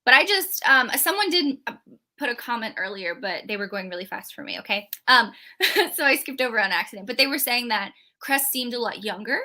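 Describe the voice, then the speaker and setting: A woman's voice: a little high, very animated, brisk, bright, forceful tone. A woman co-hosting a live book discussion, talking casually and rambling with many fillers and restarts. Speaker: book club co-host.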